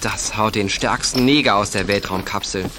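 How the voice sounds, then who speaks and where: A man's voice: lowish voice, moderate pace, pushed and bright, a little hiss or hum. A male actor in a German audio drama playing a bold, hot-headed teenage boy who leads his friends.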